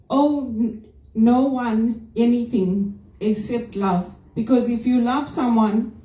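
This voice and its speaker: A woman's voice: fairly high pitch, warm tone. A woman from Zimbabwe giving an informal, earnest and opinionated personal reflection with a religious side. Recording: roomy echo, distant from microphone.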